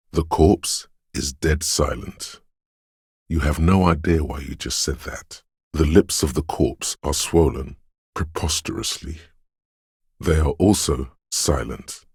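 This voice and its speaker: A man voicing the eerie, brooding inner voice of a detective's intuition in a video game, with slow, ominous, dreamlike narration and a husky, gravelly quality. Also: very deep voice, a little mellow, no room sound, studio quality, full character voice. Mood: ominous, musing. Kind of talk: scripted video game narration in second person.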